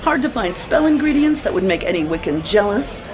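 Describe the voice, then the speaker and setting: A woman's voice: medium pitch, very animated, brisk, a crowd in the background, natural voice, poor audio. An actress playing a flirtatious, dramatic woman who runs an occult bookstore in an audio drama. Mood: flirtatious, boastful.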